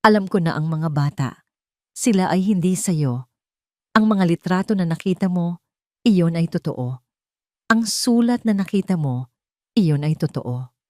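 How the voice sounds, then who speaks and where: A woman's voice: lowish voice, expressive, unhurried, long pauses, darkish tone, no echo. A stern, wealthy older mother played by a woman narrator in an audio drama. She speaks in a hard, commanding, controlling way, recorded dry. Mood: cold, insistent.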